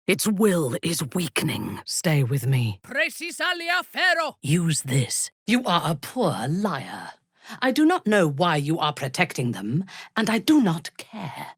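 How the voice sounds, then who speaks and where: A woman voicing a haughty, ruthless drow warrior in a fantasy video game, husky, measured and imperious. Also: lowish voice, no room sound, studio quality, exaggerated voice. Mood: commanding, then shouting in battle, then disdainful.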